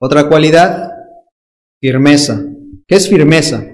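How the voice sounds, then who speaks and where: A man's voice: fairly high pitch, a little slow. A man preaching a sermon with a Dominican accent, emphatic and playful, drawing out key words and mimicking people's excuses. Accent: Dominican Spanish.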